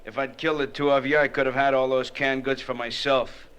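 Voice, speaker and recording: A man's voice: medium pitch, level tone, fairly quick, a bit bright, clean tone, close to an everyday voice. An actor playing a cocky, menacing smuggler with a gun, taunting in tough-guy slang in an old radio adventure. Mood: sardonic.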